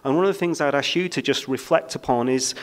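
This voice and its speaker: A man's voice: medium pitch, fast. A British man giving a conference keynote speech on a stage, talking with frequent ums and uhs and a self-deprecating touch. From United Kingdom. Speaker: keynote speaker. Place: conference stage under lights.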